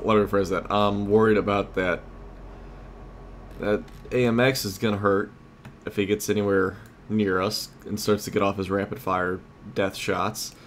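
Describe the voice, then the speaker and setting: A man's voice: on the low side, a little hiss or hum. A man giving casual gameplay commentary as a let's play host, thinking aloud in a conversational way.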